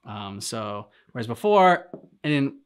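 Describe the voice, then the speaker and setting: A man with a mid-range voice talks casually as co-host of a reaction channel. He thinks out loud, halting between short fragments as he works through his opinion. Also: medium pitch, big pitch swings, clean tone. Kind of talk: casual discussion of a video game story.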